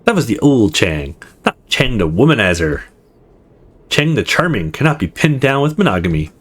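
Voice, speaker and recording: A man's voice: medium pitch, big pitch swings, normal speed. A man voicing a boastful young wannabe ladies' man in a visual novel, pompous and theatrical.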